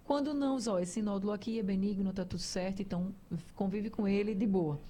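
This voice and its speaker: A woman's voice: medium pitch, brisk, warm tone, slight background noise. A woman hosting a radio health talk show, explaining things to listeners in a chatty, conversational way.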